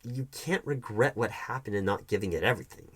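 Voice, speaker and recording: A man playing a defensive, wounded hiring manager arguing with his ex in a conversational audio roleplay, halting and often throwing questions back. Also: lowish voice, animated. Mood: defensive, exasperated. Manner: defensive, halting, repeats the other's accusations as questions. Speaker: roleplay performer. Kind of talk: one-sided audio roleplay, conversational acting.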